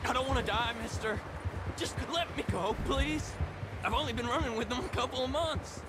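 An actor playing a timid young captive in a western video game, pleading in panic. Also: male voice, extremely high pitch, moderate pace, over loud music. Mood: terrified, pleading. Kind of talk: video game dialogue acting.

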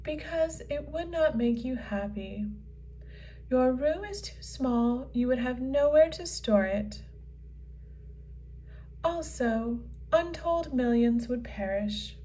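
A woman's voice: high voice, expressive, takes it slowly, mellow, recorded from a distance, slight background noise. A woman voicing a vain and elegant female unicorn, speaking grandly in a children's comic read-aloud. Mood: calm. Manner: grand, formal storybook delivery.

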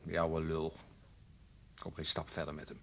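An actor playing a weary, dejected, grumbling police detective in a radio drama. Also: male voice, a bass voice, monotone, normal speed, creaky, a little hiss or hum. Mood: weary, sour.

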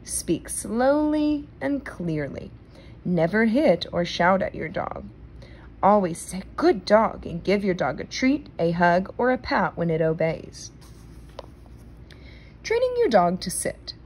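A woman reading a children's nonfiction book aloud in a careful, deliberate manner. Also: medium pitch, sing-song, a little mellow, a little hiss or hum. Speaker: read-aloud reader.

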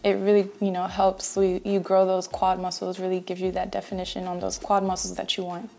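A woman's voice: on the low side, completely flat delivery, fairly quick, gravelly, darkish tone, faint music behind. A woman in her thirties giving a casual, conversational walkthrough of exercises as a fitness vlogger, recorded in a room at home. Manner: conversational, explanatory. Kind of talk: casual instructional voice-over explaining exercises.

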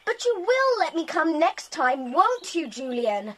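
Very high, hot-tempered tomboy girl. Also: big pitch swings, a little slow, a bit bright, slight background noise, exaggerated voice. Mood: indignant. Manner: indignant, blunt. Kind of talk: children's audio drama acting.

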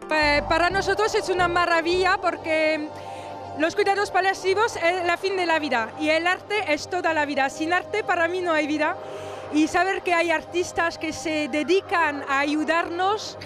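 A woman's voice: very high-pitched, fairly even intonation, normal speed, music in the background. A middle-aged French woman speaking non-native Spanish with a French accent, enthusiastic, interviewed at a handheld mic in a crowded gallery. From France. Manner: enthusiastic, slightly broken Spanish. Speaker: charity president. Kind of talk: street interview. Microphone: handheld reporter mic.

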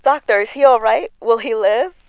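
A woman's voice: fairly high pitch, moderate pace, dry recording, natural voice. A woman playing an eager, headstrong young Amazon princess in a radio serial, earnest and urgent. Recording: muffled, dull lo-fi sound.

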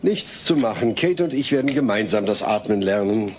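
A man's voice: lowish voice, lively intonation, moderate pace, a crowd in the background, lightly acted. An actor playing an exasperated, earnest suburban father-to-be in a sitcom audio play, a little fussy. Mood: firm. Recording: noisy old cassette audio.

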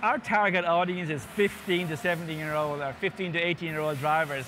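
A man's voice: fairly high pitch, steady tone, brisk. A man in his fifties, a racing series organizer, explaining enthusiastically in a sit-down interview, often listing things and repeating words. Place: indoor interview set before a sponsor backdrop. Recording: clear background noise.

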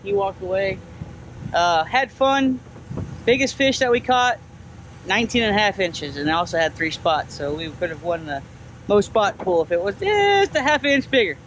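A man in his thirties talking casually to an action camera as he fishes from a kayak outdoors, chatty and excited as he coaxes a fish in. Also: high voice, moderate pace, slight background noise, low-quality recording. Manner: chatty, excited coaxing while reeling in fish. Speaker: kayak fishing vlogger. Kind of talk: casual narration while fishing. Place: outdoors on a kayak and at a waterside lot. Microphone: action camera mounted on the kayak and handheld.

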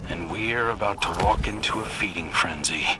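A man voicing an earnest, brooding younger hunter in a video game, speaking in a serious, measured manner. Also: deep voice, background noise, close to an everyday voice. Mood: serious, focused. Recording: game audio under music. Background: game music.

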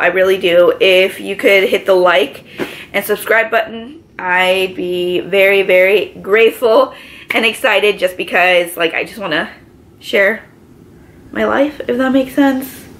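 A pregnant young woman with a raspy, allergy-congested voice, chatting casually to camera from her living room couch, full of filler words. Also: mid-range voice, a bit bright. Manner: chatty, lots of filler words, gestures with both hands. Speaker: vlogger. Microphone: camera in front, medium framing.

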